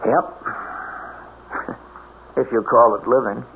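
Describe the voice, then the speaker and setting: An actor playing a bitter, self-mocking reclusive game warden in a radio drama, wry and halting. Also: male voice, medium pitch, fairly even intonation, vocal fry, dull audio. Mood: bitterly wry. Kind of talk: 1970s radio drama acting. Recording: thin, slightly noisy old radio recording.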